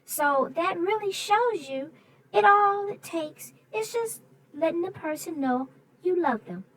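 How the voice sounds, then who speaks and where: A woman's voice: very high-pitched, animated, measured pace, fairly bright tone, slight background noise, rough recording. A woman co-host chatting casually about a children's story, reflective and explaining.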